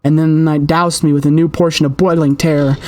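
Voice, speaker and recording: A man's voice: on the high side, level tone, fast talker, a little mellow, a little hiss or hum. A man reading a horror game's story aloud with theatrical, suspenseful delivery, as a player narrating.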